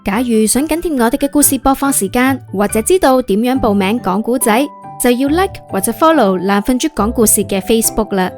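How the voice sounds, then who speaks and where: A woman's voice: on the high side, animated, brisk, a little mellow, soft background music. A woman with a Hong Kong Cantonese accent narrating a scripted story for children as a podcast host.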